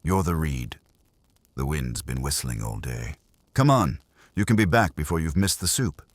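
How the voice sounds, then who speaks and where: A man's voice: a bass voice, sing-song, moderate pace, no echo, exaggerated voice. A man reading the part of a wisecracking private detective's legman in an audiobook, wry, blunt and persuasive. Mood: insistent.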